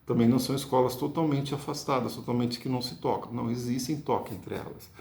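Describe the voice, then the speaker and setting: A Brazilian-accented man gives a card-reading lesson with a gravelly edge to his mid-pitched voice. He explains patiently and keeps checking in with the listener. The recording sounds echoey and roomy. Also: quick pace, darkish tone. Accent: Brazilian Portuguese. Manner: patient, ends points with tag questions. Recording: echoey room.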